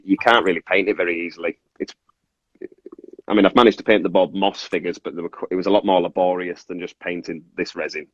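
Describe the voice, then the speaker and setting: A man's voice: low voice, breathy edge. A man from northern England with a Cumbrian accent touched by Scottish, casually explaining his craft in a rambling, self-interrupting way. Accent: Cumbrian northern English with Scottish influence. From Carlisle, Cumbria, northern England. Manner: rambling, self-interrupting, apologetic about tangents. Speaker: toy maker and resin artist, guest. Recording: muffled, echoey room sound.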